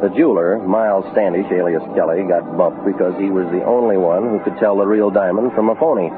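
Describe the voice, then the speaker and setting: A brash, world-weary private detective, played by a man in a 1940s radio drama, with a fairly low voice. He talks in clipped, sardonic slang. The recording is a muffled old broadcast with music behind it. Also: brisk, lightly acted. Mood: wry narrating.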